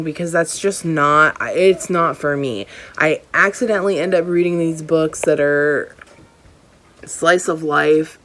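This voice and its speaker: Young female book vlogger, low pitch, opinionated and insistent, casual delivery. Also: fairly bright tone, slight background noise. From Hawaii. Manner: emphatic, opinionated, repeats phrases.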